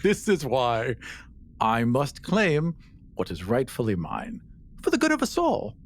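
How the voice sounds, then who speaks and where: A man playing an entitled, self-important adventurer in a tabletop game, speaking in a deliberate, grandiose, pompous way. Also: medium pitch, wildly swooping pitch, full character voice. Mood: haughty, self-righteous. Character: entitled, self-important adventurer who believes he deserves power.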